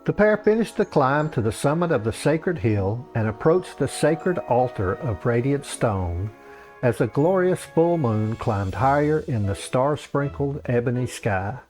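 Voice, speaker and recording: A man's voice: mid-range voice, animated, a little slow, a little mellow, faint music behind, rough recording. A man reading scripted comic fantasy narration as a storyteller, in the manner of an author reading his own work.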